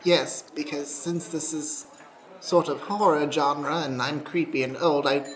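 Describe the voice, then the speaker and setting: Woman acting a creepy old restaurant owner, low voice. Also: fairly even intonation, a little slow, low-quality recording. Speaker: improv actor. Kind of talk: improvised comedic radio play.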